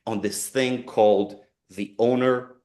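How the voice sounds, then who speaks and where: A man's voice: mid-range voice, fairly even intonation, slow, a little mellow. A man in his forties with an Israeli accent, talking earnestly in a webinar and often repeating and restarting phrases. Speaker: company co-founder presenting a webinar. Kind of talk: conversational webinar talk.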